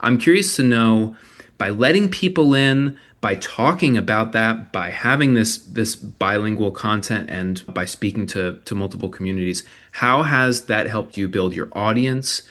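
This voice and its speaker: A man's voice: lowish voice, normal speed, a bit bright. A man moderating an online panel, introducing guests and asking long, enthusiastic multi-part questions.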